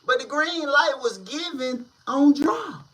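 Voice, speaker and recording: A man hosting a casual street-news commentary channel, talking in slang with drawn-out, emphatic delivery. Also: very high voice, expressive, bright, forceful tone.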